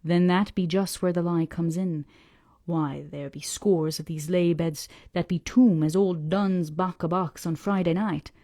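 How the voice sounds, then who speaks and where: Woman doing a gruff old fisherman. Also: on the low side, fairly even intonation, dark tone, no room sound, clean studio sound. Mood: insistent. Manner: slow, dragged-out dialect speech. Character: old male fisherman, gruff, scornful, preachy.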